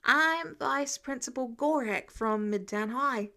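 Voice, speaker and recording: A woman's voice: high voice, takes it slowly, bright, forceful tone, exaggerated voice. A woman voicing an exuberant, officious school vice principal who is plainly starstruck.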